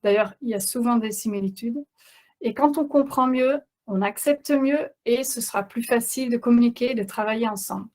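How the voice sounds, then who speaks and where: A woman's voice: on the high side, moderate pace, darkish tone. Hesitant woman coach, non-native French speaker. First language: not French. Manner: hesitant, many fillers and restarts. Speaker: parenting coach. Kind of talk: webinar presentation.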